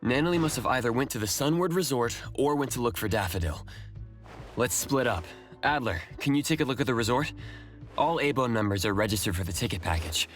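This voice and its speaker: An actor playing a composed young investigator leading his team in a video game, measured and matter-of-fact. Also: mid-range voice, expressive, natural voice. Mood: composed, focused. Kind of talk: scripted video game voice acting. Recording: music behind the voice.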